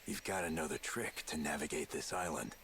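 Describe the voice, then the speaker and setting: An actor playing a guarded, plain-spoken spy in a video game, with a gruff, casual, clipped delivery. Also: male voice, extremely low pitch, fairly quick, fairly bright tone, a little hiss or hum. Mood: laconic, reflective.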